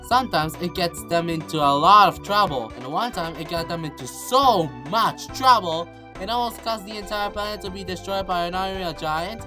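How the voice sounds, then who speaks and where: A low-voiced woman serves as narrator of a children's storybook, reading from a script. Clear music plays behind her voice. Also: fairly bright tone.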